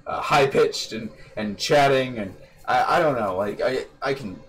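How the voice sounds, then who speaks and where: A man's voice: mid-range voice, animated, unhurried, a bit bright, poor audio. Young male host, loose rambling delivery full of fillers, voice-call mic with a light hum underneath. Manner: rambling, swears casually, many fillers. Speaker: podcast host. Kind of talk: casual chat. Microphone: computer microphone on a voice call.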